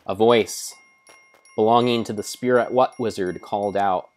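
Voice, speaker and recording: Man, low voice, theatrical storyteller, solemn, deliberate pauses, mock-dramatic game narration. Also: fairly even intonation, a little mellow, soft background music. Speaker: live streamer narrating a game.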